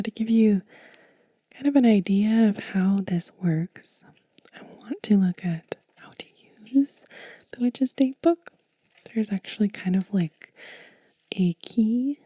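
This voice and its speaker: A woman hosting a soft-spoken ASMR show-and-tell, whispering gently and breathily at an unhurried pace. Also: mid-range voice, breathy edge, no room sound. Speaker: ASMR channel host.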